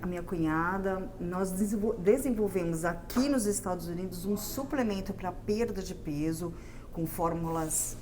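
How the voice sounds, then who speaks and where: A woman from Brazil, a guest entrepreneur, telling her story in a conversational interview with lively restarts and fillers. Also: lowish voice, normal speed, background noise.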